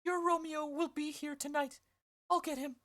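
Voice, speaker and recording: A woman voicing an old female nurse with a shrill, wailing voice in a play reading. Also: steady tone, normal speed, fairly bright tone. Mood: bustling.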